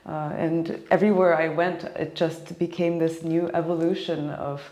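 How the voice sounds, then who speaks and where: Low-voiced young woman, thoughtful, echoey room. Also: level tone, normal speed, mellow. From Japan and Hawaii. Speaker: classical cellist being interviewed. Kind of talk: casual reflective interview talk.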